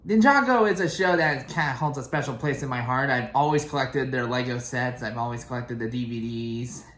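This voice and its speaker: A young man talks in an echoey room, hosting a casual fan video. His delivery rambles and halts as he finds his way through his thoughts. His pitch sits in an ordinary middle range. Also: fairly quick, a bit bright. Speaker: reaction video host.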